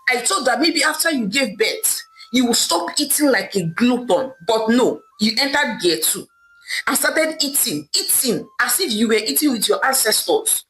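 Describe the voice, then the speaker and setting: A woman's voice: fairly high pitch, lively intonation, normal speed, fairly bright tone, faint music behind, poor audio. A woman voicing an angry husband who mocks and scolds his wife. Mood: angry scolding. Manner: scolding, mocking. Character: scornful husband, angry and dismissive.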